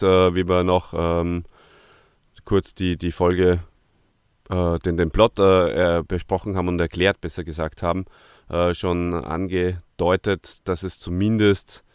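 A man giving his opinions in a casual podcast discussion, in a measured, halting way. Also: low-pitched, clean tone, no room sound, clean studio sound. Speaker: podcast reviewer.

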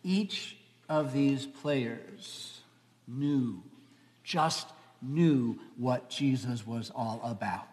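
A man's voice: medium pitch, very slow, darkish tone. A man in his fifties, a pastor preaching a dramatic storytelling sermon with emphatic phrasing. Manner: emphatic, swelling and trailing phrases. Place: large church sanctuary, seated at front. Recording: echoey church hall, long reverb.

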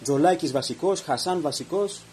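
A man's voice: on the high side, darkish tone, a little hiss or hum. Opinionated podcaster man. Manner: opinionated, reads out events minute by minute. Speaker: podcast host. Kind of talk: post-match commentary monologue.

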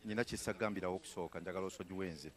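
A man's voice: low-pitched, very fast, close to an everyday voice. An actor on a theatre stage playing a husband who coaxes and soothes his angry wife with rambling explanations, into a handheld mic. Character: placating husband caught between wife and friend. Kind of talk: live stage comedy acting. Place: theatre stage with house set.

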